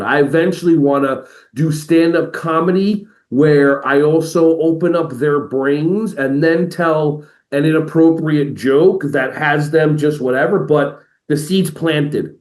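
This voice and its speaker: Animated man in a roomy-sounding room. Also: fairly high pitch, measured pace, hardly any pauses. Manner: energetic, jumps into playful quoted voices. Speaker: podcast guest, educator and motivational speaker. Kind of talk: animated conversational interview.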